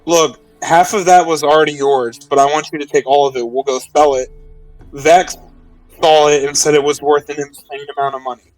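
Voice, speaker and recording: Earnest man with a highish voice. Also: steady tone, fairly quick, no room sound, distant mic, soft background music, rough recording. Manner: earnest, pleading. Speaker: tabletop game player. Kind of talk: in-character improvised roleplay dialogue.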